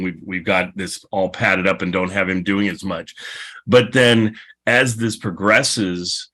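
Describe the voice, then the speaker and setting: A man with a fairly low voice moderates a panel as podcast host. He talks off the cuff in a rambling, enthusiastic way, often circling back over his own phrases. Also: pushed and bright. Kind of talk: unscripted panel discussion.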